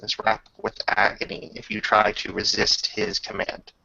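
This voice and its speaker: A man speaking as game master in a casual tabletop roleplaying session, halting and muttering through rules and dice. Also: fairly low pitch, little pitch movement, creaky, pushed and bright, no echo. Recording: dull lo-fi recording.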